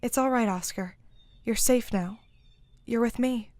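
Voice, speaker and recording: A determined young heroine, acted by a woman in a fantasy audio drama. Her voice sits fairly high, and she speaks with blunt, protective firmness. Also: a little slow, darkish tone, no echo, close to an everyday voice. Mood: reassuring.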